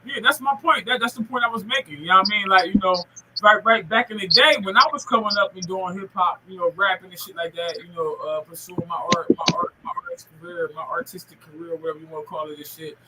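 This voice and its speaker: Male podcast host, forties. Forceful high voice, loose profane street-slang storytelling, faint noise behind. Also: fast, low-quality recording. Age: 40s. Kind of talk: casual interview chat.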